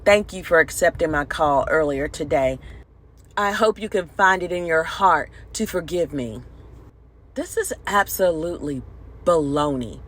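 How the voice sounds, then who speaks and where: A woman hosting a commentary video, talking informally and emphatically with strong opinions about sports news. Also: mid-range voice.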